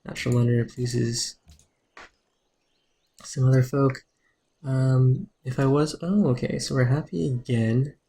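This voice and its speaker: A man with a soothing voice streaming a strategy game live, calmly explaining his moves and answering chat. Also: mid-range voice, measured pace, long pauses.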